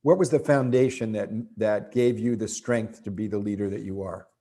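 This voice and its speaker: A man hosting an interview, introducing his guest in a measured, earnest way with some restarts. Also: fairly low pitch, mellow. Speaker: host.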